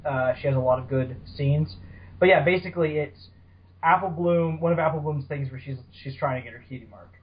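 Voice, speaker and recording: Guy on a fanfic podcast, kinda high voice, teasing and sweary, muffled cheap recording. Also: fairly quick. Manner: teasing, playfully profane. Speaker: podcast host. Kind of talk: casual panel chat about fan fiction. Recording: dull, lo-fi audio.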